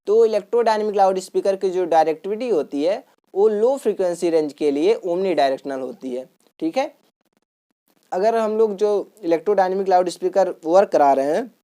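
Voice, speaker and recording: A woman's voice: fairly low pitch, warm tone. A woman teacher giving an explanatory lesson, going step by step, repeating points and often asking check questions. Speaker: electronics teacher.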